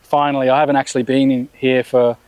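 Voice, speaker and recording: A man's voice: mid-range voice, fast talker, smooth, no room sound, low-quality recording. Casual guy chatting, rambly, um and uh a lot, dry audio. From Australia. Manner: relaxed, rambling with many restarts and fillers. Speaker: brand founder and CEO. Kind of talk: casual interview.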